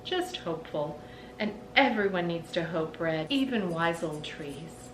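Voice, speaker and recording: A woman voicing a frank, reassuring female bird in a storybook, in a gentle, measured way. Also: animated. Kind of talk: storybook character reading. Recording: roomy echo, background rumble.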